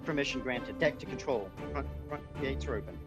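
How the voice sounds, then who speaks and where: A man's voice: mid-range voice, brisk. A man acting as a flight deck trainer, giving military-style procedural instructions in online roleplay. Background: music.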